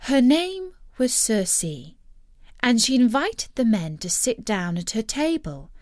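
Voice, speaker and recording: A woman narrating a scripted story in an expressive, measured storytelling manner. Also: a little high, no room sound, clean studio sound.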